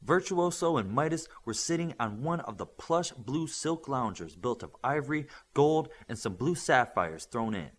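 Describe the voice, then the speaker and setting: A man's voice: mid-range voice, animated, normal speed, hardly any pauses. A man reading a scripted story aloud as an audiobook narrator.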